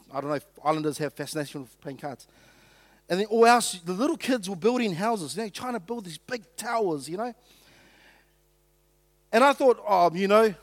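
A man born in Samoa, preaching a sermon and telling stories, joking with the congregation. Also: high-pitched. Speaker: pastor.

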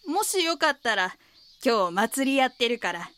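A woman's voice: a little high, big pitch swings, normal speed, a bit bright, clean tone. A woman playing a chatty, helpful small-town local with a Kansai accent in a neighbourly, folksy audio drama role. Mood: friendly. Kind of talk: Japanese audio drama acting.

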